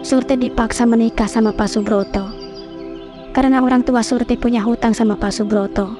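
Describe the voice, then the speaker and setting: A woman voicing an older village maid in a horror cartoon, telling things slowly and plainly. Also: a little high, steady tone, over music, close to an everyday voice. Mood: guarded. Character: older village woman servant, calm and guarded.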